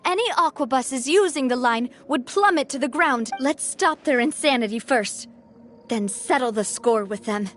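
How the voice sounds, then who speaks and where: A woman's voice: sits high, lively intonation, moderate pace, fairly bright tone, faint music behind. A woman playing an upbeat young female guild boss, protective of her people, in a video game. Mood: urgent, resolute. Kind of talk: English game voice acting.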